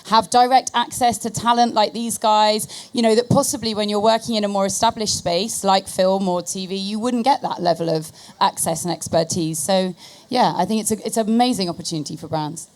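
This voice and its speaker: A woman's voice: mid-range voice, fairly even intonation, fairly quick, a little mellow. A woman speaking on a panel as a media agency expert advising brands. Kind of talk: panel discussion talk.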